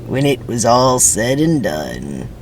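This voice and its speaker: Male narrator, screenplay reading, highly varied intonation, theatrical, wry, faint noise behind. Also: very animated, slow pace.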